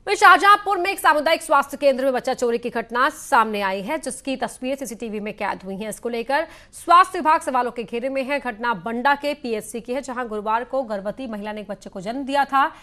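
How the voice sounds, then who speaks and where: A woman's voice: on the high side, fast, hardly any pauses, pushed and bright. A woman news anchor reading a scripted news bulletin.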